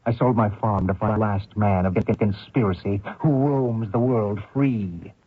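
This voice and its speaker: An actor playing a vengeful Canadian man hunting a fugitive, deliberate and taunting in an old radio drama. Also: lowish voice, husky, no echo. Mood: accusing. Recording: muffled thin old radio recording.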